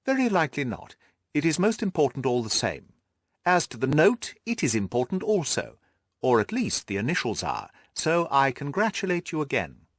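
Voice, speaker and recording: A man voicing an amused, self-assured gentleman detective in an audiobook, with a teasing, theatrical drawl. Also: a little high, huge pitch swings, moderate pace, no room sound, voice pushed up. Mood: calm, teasing. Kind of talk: audiobook character acting.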